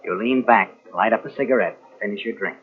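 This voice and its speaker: An actor playing a knowing, insinuating narrator in a 1940s radio suspense drama, speaking dramatically as if taunting the guilty hero. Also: male voice, fairly low pitch, fairly quick, lightly acted. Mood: suspenseful. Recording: tinny old radio recording from cassette.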